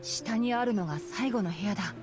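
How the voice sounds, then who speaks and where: A woman voicing a calm, knowledgeable young fox-eared male ranger in a Japanese anime game, explaining things in a measured way. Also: mid-range voice, close to an everyday voice. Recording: game music behind. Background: game music.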